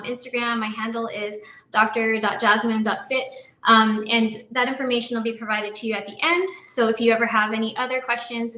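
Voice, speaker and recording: A woman's voice: a little high, pushed and bright, roomy sound. A woman in her thirties from New York giving a slide talk to an online audience as a guest dentist, earnest and full of ums and restarts. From Long Island, New York.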